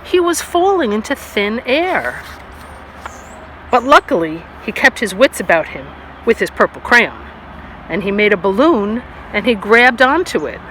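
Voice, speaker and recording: A storyteller reading a children's picture book aloud outdoors, with expressive delivery and asides to young listeners. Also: medium pitch, unhurried, low-quality recording. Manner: addresses young listeners directly with questions and asides. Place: outdoors on a stone wall by grass. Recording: outdoor recording with faint ambient noise.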